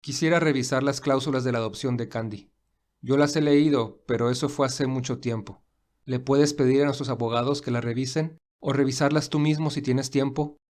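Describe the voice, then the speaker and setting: Man acting an earnest, devoted young gentleman. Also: medium pitch, steady tone, brisk, natural voice. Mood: businesslike, earnest. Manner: earnest, reflective.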